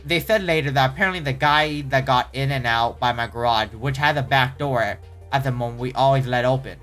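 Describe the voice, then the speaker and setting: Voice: medium pitch, fairly bright tone. Casual host reading horror stories aloud. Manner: stumbling, self-correcting reading aloud; easily startled, exclaiming reactions.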